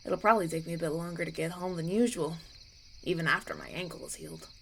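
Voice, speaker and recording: Gentle young prince, played by a woman, hesitant and grateful. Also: on the low side, quick pace, creaky, a little hiss or hum, voice pushed down. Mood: weary, appreciative. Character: kind, grateful young runaway human prince. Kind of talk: roleplay audio acting.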